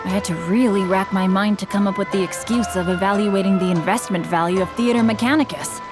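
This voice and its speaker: A woman's voice: medium pitch, fast, natural voice. A woman playing a polite, bookish teenage young master in a video game, with courteous, eloquent and slightly formal speech. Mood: delighted. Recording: background music under the voice.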